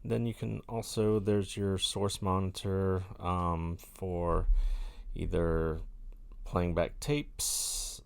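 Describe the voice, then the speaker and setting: A man's voice: low-pitched, flat delivery, extremely slow, creaky, clean tone. A man casually demonstrating gear as host, rambling and jokey in a colloquial, self-mocking way. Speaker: host of a vintage gear video channel.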